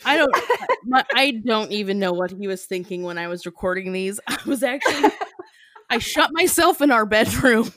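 A woman's voice: mid-range voice, expressive, moderate pace, fairly bright tone, dry recording. A woman co-hosting a podcast, chatting casually and giggling, filling her talk with "like". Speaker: podcast co-host. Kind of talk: casual chat.

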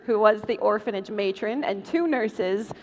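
A woman's voice: medium pitch, moderate pace, darkish tone. A woman host reading scripted narration as part of a live comedic stage show. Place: large convention hall, live stage. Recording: noisy hall with long reverb.

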